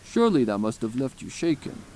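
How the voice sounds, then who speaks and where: A man voicing a calm adult stallion therapist in a storybook narration, with a pleasant, moderately deep voice and patient, direct, searching questions. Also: fairly quick, poor audio. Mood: pressing.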